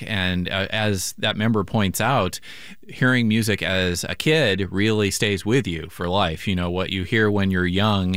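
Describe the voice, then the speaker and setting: A man's voice: fairly low pitch, a bit bright, clear, resonant tone, no echo. A man hosting classical public radio, talking in a friendly, conversational way during a pledge drive on a studio microphone. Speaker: radio host.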